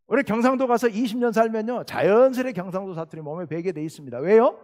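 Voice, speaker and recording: A man's voice: sits high, quick pace, a little mellow. A middle-aged male pastor from South Jeolla with a Jeolla Korean accent, preaching a sermon with earnest emphasis and rhetorical questions. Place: church sanctuary. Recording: long church reverb.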